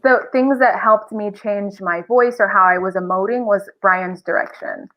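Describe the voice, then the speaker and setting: A woman's voice: medium pitch, normal speed, sharp tone, poor audio. A woman chatting casually and expressively as an enthusiastic voice actress guest on a podcast. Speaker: interview guest, audio drama voice actress.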